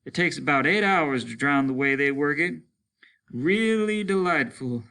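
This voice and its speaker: Man acting Simmons, an excitable older spaceman, high-pitched. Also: measured pace, smooth, heavily acted. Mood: grim, wry. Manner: animated, breathless exclaiming.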